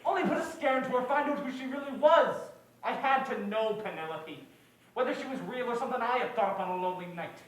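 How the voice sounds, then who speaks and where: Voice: medium pitch, lively intonation, quick pace, natural voice. A performer acting a worn-out, lovesick male gumshoe, earnest and dramatic in a hardboiled noir stage play. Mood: confessional, lovesick. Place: indoor stage, heavy room echo. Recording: very echoey stage recording.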